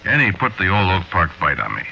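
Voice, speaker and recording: A muffled old radio recording carries a very deep-voiced American small-time crook. He is weary and fatalistic, confiding in slangy, halting talk, in the manner of a 1940s radio drama. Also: wildly swooping pitch, gravelly, pushed and bright, full character voice. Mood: grim, confiding.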